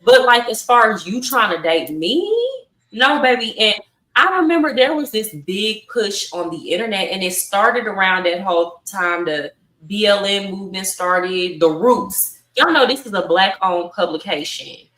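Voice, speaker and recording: A woman's voice: mid-range voice, lively intonation, moderate pace, a bit bright. An outspoken woman debating in a casual, conversational way as a panelist on a podcast. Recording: roomy echo, distant from the mic.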